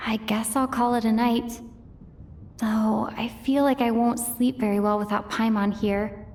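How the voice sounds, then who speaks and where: A woman's voice: on the high side, fairly even intonation, a little slow, darkish tone. An actress playing a caring, thoughtful young female traveler in a video game, musing to herself. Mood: pensive. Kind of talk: video game voice acting, inner monologue.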